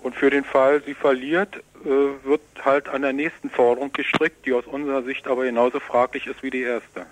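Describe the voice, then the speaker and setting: A man's voice: mid-range voice, little pitch movement, a little fast, few pauses, low-quality recording. A man giving explanatory interview answers in formal, legalistic wording, hesitating often. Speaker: newspaper representative being interviewed.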